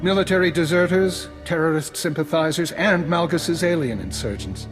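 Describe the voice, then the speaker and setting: An actor playing a shrewd, formal, dryly polite retired spymaster in a science-fiction video game. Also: male voice, on the high side, moderate pace, over music, close to an everyday voice. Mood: measured, grave. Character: shrewd, unflappable male retired imperial spymaster. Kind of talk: scripted video game acting.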